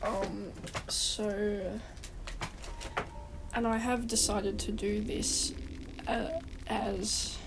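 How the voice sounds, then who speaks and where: A woman's voice: medium pitch, level tone, extremely slow, gravelly, pauses often, background noise. A woman with a cold and a stuffy nose, rambling casually through a game guide, swearing and restarting her sentences. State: congested from a cold. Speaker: gaming guide host.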